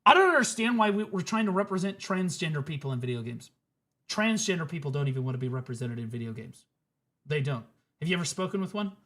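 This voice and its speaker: Man, streamer, high voice, sharp tone, profane, emphatic rant. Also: very animated, fast talker. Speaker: streamer reacting to clips. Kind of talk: casual ranting commentary.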